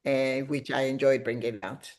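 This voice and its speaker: A middle-aged woman talks as an author being interviewed. Her delivery is conversational and animated, with a reflective way of recounting her own thoughts. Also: rumbling low, normal speed. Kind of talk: casual conversational storytelling about writing.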